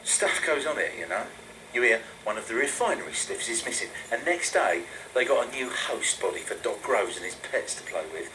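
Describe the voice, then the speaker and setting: A man's voice: medium pitch, big pitch swings, normal speed, background noise, natural voice. A man playing a shifty, gossiping colony worker in a game audio log, talking in a furtive, colloquial way.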